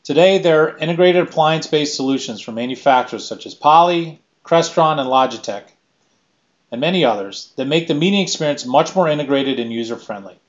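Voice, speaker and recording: A man's voice: on the high side, expressive, lo-fi sound. Man reading a scripted keynote. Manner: measured, list-like delivery. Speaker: keynote speaker. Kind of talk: scripted presentation.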